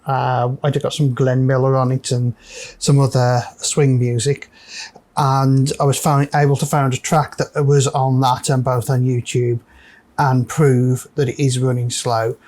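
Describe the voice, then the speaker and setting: A man's voice: medium pitch, darkish tone. A man hosting a casual, unscripted repair walkthrough, thinking aloud hesitantly as he works. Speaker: host of a repair video.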